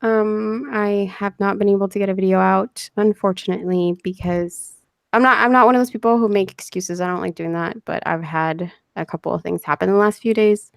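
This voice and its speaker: A woman hosting a casual livestream interview, her voice hoarse and scratchy as she chats and giggles. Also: medium pitch, fairly even intonation, a little fast, dry recording, clean studio sound. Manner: chatty, giggly, reads chat messages aloud. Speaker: livestream host interviewing a guest.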